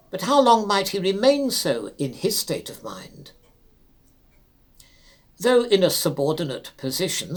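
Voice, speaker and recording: A man narrating a classic literary story aloud in a measured, formal and expressive dramatic reading style. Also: fairly high pitch.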